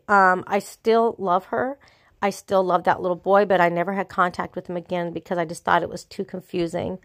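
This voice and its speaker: A woman's voice: on the low side, no echo. A middle-aged woman speaks with a stuffy, congested voice from a cold. She tells her story casually and earnestly, wandering and restarting her sentences. The sound is dry and close, with no room around it. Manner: rambling, earnest, with restarts and trailing pauses. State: sick with a cold, congested and sore-throated. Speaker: vlogger.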